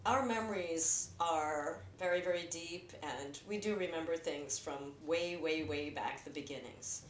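A woman's voice: deep voice, measured pace. An American woman from New Jersey talking candidly and reflectively about herself in casual conversation. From New Jersey, United States. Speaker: filmmaker. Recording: roomy echo, distant mic, faint noise.

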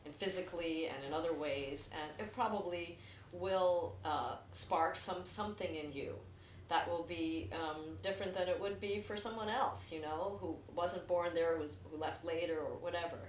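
Woman, American accent, low pitch, reflective and candid, distant mic, conversational. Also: moderate pace. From New Jersey, United States. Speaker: filmmaker. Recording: roomy echo, distant mic, faint noise.

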